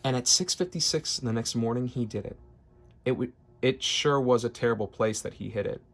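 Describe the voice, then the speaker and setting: A man's voice: medium pitch, normal speed. Man reading a rhyming storybook. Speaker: storybook reader. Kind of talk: expressive read-aloud of rhyming children's story.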